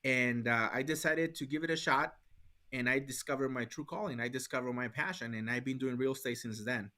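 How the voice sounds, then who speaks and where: A man's voice: mid-range voice, brisk, sharp tone. A middle-aged man from Mexico with a Mexican Spanish accent, a guest in an interview, speaking earnestly and often repeating words as he restarts. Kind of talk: conversational interview.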